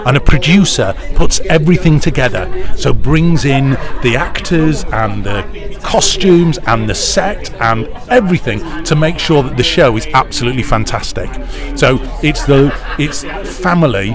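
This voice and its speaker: A man's voice: mid-range voice, lively intonation, normal speed. Middle-aged British man talking over music. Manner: chatty, enthusiastic storyteller. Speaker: pantomime producer, interviewee. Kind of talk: interview answers. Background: music clearly audible.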